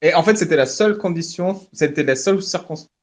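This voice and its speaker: Host, male, slightly high pitch, jokey and loose, live online audio chat. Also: fast, a little mellow. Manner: joking, thinks aloud, laughs often. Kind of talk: casual live audio-room discussion.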